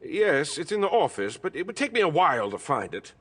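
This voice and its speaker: A man's voice: on the high side, sing-song, fairly quick, fairly bright tone, slightly rough, exaggerated voice. Smarmy, lilting male shopkeeper. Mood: oily, obliging. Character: smarmy, lecherous male shopkeeper, flirtatious with customers. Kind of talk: dramatized audiobook acting.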